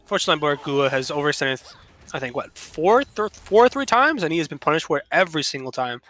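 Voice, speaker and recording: A man's voice: fairly high pitch, very animated, fast talker, fairly bright tone. A man giving casual live analysis and banter as a commentator on a video game match.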